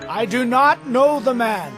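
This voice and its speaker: A man's voice: very high voice, lively intonation, measured pace, over music. A man playing a frightened, defensive male disciple in a scripture audio drama, emphatic and agitated. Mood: agitated denial.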